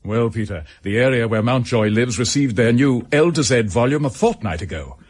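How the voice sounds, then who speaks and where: An actor playing an earnest, practical police detective in a radio drama, with an English accent and a straightforward, businesslike manner. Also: male voice, mid-range voice, animated, moderate pace, close to an everyday voice.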